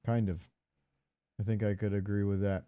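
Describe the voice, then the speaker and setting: A man's voice: on the low side, level tone, normal speed, dark tone, clean tone, no room sound, lo-fi sound. A man hosting a casual gaming podcast, joking and opinionated. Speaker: podcast host.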